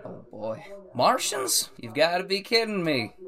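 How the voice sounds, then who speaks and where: An actor playing a teasing, unflappable young boyfriend in a radio drama, wry and incredulous. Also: male voice, on the high side, big pitch swings, measured pace, vocal fry, a crowd in the background, full character voice. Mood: amused, teasing.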